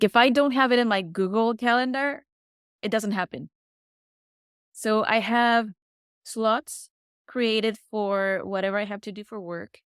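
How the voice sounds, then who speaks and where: Woman with a Spanish first language, smooth clear voice. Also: mid-range voice, no room sound, studio quality. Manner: searches for words, restarts. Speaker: podcast guest. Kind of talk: casual interview chat.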